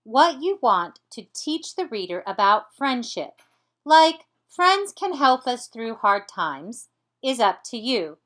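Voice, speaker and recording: Slow-paced woman teacher, very varied intonation. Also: on the high side, big pitch swings, a bit bright. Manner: deliberate, step-by-step explaining. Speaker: teacher presenting a writing activity. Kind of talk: instructional lesson.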